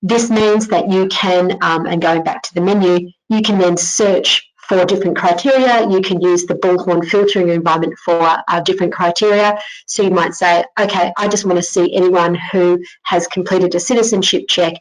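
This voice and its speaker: A woman giving an informal narrated software tutorial, explaining things step by step as a company presenter. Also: fairly low pitch, steady tone, moderate pace, hardly any pauses, rough recording. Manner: instructional, step-by-step.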